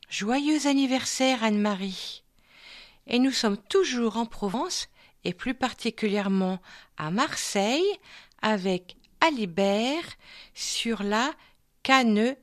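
A woman radio host presents birthday dedications in an unhurried, measured way, announcing dates and song titles. Her voice sits fairly high for a woman and sounds dry and close, with no room around it. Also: no room sound.

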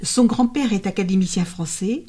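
Woman doing an interview, chatty and discursive, medium pitch, takes her time getting to the question. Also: moderate pace, warm tone. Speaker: interviewer, host. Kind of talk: conversational interview.

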